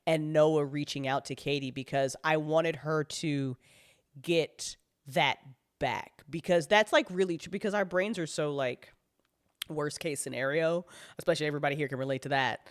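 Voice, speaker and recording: A mid-pitched speaker holds forth in a casual live chat as a writer of audio drama. The delivery is rambling and dramatic, full of slang and swearing. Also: normal speed.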